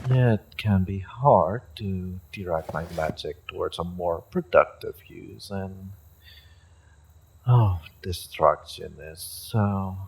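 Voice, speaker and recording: A man's voice: low voice, slightly rough, exaggerated voice, low-quality recording. A man playing a haughty evil sorcerer in a fantasy roleplay audio drama, theatrical, condescending and musing. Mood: musing, smug. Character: haughty evil male sorcerer leading a raid.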